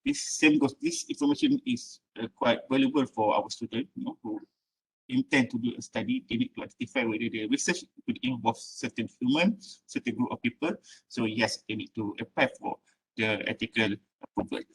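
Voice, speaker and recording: A man's voice: medium pitch, sing-song, a little fast, vocal fry, breathy edge, poor audio. A man moderating the question session of an online academic lecture. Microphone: video call.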